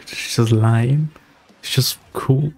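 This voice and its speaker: Young man streaming, husky and mellow. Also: medium pitch, steady tone, slow. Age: young adult. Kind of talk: casual live reaction commentary. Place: small home room, indoors. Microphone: close broadcast mic on boom arm. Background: music from the video being watched.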